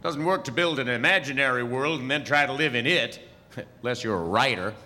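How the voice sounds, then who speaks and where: A man's voice: mid-range voice, a little fast, background noise, close to an everyday voice. A man playing a wry, easygoing old wizard with folksy, hesitant asides in a live audio theatre comedy. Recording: hall reverb of live stage performance.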